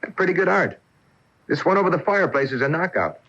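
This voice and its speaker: An actor playing a practical, affectionate, skeptical boyfriend in a radio mystery, casual and emphatic. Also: male voice, high voice, expressive, fast talker, a bit bright, full character voice. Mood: breezy. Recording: dull off-air AM radio recording.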